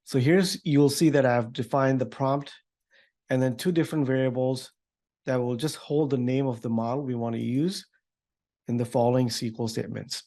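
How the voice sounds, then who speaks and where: A man giving an informal, upbeat software demo walkthrough as a developer advocate. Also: mid-range voice, moderate pace. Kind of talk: tech demo walkthrough, semi-scripted.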